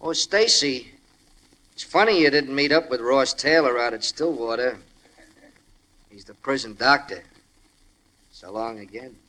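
Sly, slangy radio butler. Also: male voice, mid-range voice, pushed and bright, rough recording. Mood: slyly probing. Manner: insinuating, folksy, hesitant pauses. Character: nosy, sly household butler with working-class slang. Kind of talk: 1940s radio crime drama acting. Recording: old-time radio broadcast recording.

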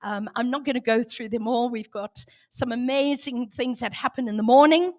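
A woman from South Africa with a hoarse, strained voice, speaking as a guest preacher in church, chatty and self-joking between gentle prayers. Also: a little high, moderate pace. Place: church hall, in front of a congregation.

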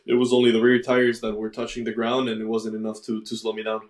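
Low-voiced young man in an echoey room. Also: monotone. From Ecuador, raised in Miami. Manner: measured, halting with fillers and restarts. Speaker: racing driver guest. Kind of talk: casual interview.

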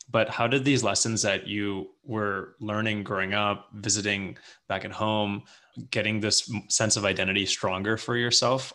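Man, low voice, bright and forceful tone, measured interviewer. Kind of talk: conversational interview questions.

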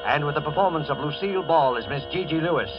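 A male announcer with a fairly high voice introduces a drama in formal, scripted 1940s radio style. The broadcast sounds muffled, with music playing behind him. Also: quick pace. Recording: dull old radio broadcast.

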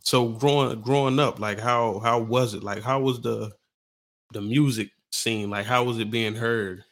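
A man's voice: mid-range voice, fairly even intonation, unhurried, a bit bright. A man hosting a podcast interview, hyped and casual, packing his questions with "like" and "come on, man.". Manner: hyped, filler-heavy, lots of "like" and "come on, man". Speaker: podcast host.

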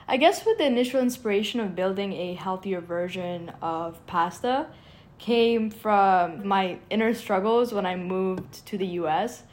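A woman's voice: mid-range voice, measured pace, a little mellow. A young woman from Egypt, a startup founder, answering interview questions in an earnest, eager way with lots of "like" fillers. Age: young adult.

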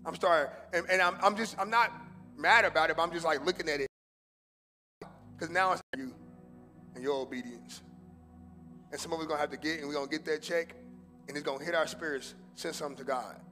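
A man with a high voice preaching to his congregation, slipping easily between casual street slang and fervent exhortation. His words ring out with a long hall echo and soft music underneath. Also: brisk, pauses often. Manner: casual street slang mixed with preaching, swings from loud fervor to hushed prayer. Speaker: pastor. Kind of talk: sermon. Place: church sanctuary.

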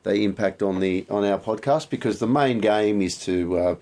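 Joking man, low voice. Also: darkish tone. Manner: jokey, halting with ums. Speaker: podcast co-host. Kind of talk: unscripted podcast chat. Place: small recording studio. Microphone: studio microphone.

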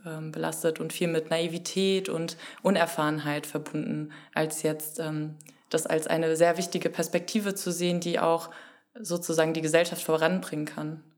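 Twenties woman, low flat voice, filler-heavy discussion. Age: 20s. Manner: hesitant, many fillers. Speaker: law student, panelist. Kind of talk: casual discussion.

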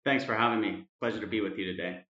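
A man with an American accent, answering interview questions in a measured, explanatory way. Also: lowish voice, fairly even intonation, bright, forceful tone. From United States. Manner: measured, explanatory, with frequent "uh" fillers. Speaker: podcast guest. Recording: roomy, dull lo-fi sound.

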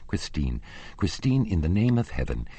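A man's voice: low-pitched, expressive, unhurried, darkish tone, breathy edge, no room sound, pitched above the performer's own voice, exaggerated voice. A man voicing a lovesick young nobleman in an audiobook, earnest, formal and pleading. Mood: pleading, urgent.

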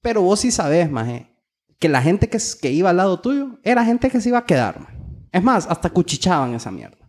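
A Honduran man with a fairly high voice co-hosts a casual podcast. He talks in local slang and swears freely as he chats. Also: big pitch swings, brisk. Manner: profane, slangy. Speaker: podcast co-host. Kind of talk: casual chat.

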